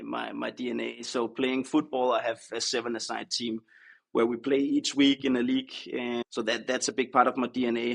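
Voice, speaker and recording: A Danish man talks casually as a podcast guest, speaking English with a Danish accent. His voice is heavily creaky and gravelly, sitting at an ordinary male pitch. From Denmark. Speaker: podcast guest, startup co-founder. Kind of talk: casual interview chat.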